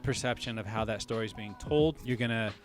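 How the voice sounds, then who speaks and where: A man's voice: fairly low pitch, a little fast, soft background music. Man musing aloud on a podcast. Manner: thinks aloud, frequent restarts and "you know what I mean". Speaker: podcast co-host. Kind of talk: casual podcast discussion.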